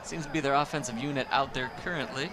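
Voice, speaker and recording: Man calling high school football play-by-play. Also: fast talker. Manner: steady, descriptive play-by-play. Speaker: play-by-play commentator. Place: outdoor stadium press box. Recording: noisy outdoor stadium feed.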